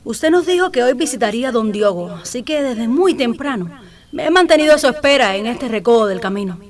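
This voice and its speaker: A woman's voice: on the high side, expressive, brisk, lightly acted. An actress playing a forthright young noblewoman in a historical radio drama, formal, precise and composed.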